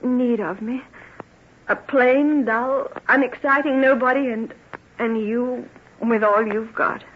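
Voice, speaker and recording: An actress playing a devoted, self-effacing plain woman in love, emotional and halting, in an old-time radio drama. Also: on the high side, fairly even intonation. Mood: yearning. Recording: muffled, rough old radio recording.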